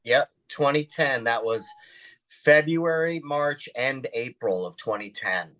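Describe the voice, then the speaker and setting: A man's voice: medium pitch, slow, fairly bright tone. An American man, a rapper, chatting casually as an interview guest. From United States. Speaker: musician guest, underground rapper.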